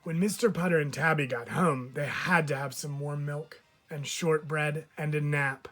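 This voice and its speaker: A man's voice: on the high side, steady tone, normal speed, fairly bright tone, faint music behind. Man reading a children's storybook aloud.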